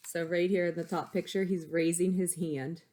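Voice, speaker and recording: A woman teacher reading a picture book aloud to young pupils, expressive, with chatty asides. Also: fairly low pitch, moderate pace. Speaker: elementary school teacher.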